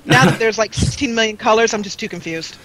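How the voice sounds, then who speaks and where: Bright, high-voiced woman chatting playfully. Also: fast, low-quality recording. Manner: playful, self-deprecating joking. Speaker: guest on a gaming show. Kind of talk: casual chat on a live stream. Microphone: makeshift microphone.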